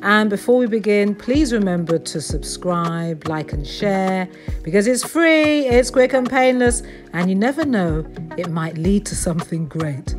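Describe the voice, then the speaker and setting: An upbeat woman presenting her channel in a mid-pitched voice, with music running underneath the recording. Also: animated, a little slow. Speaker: host.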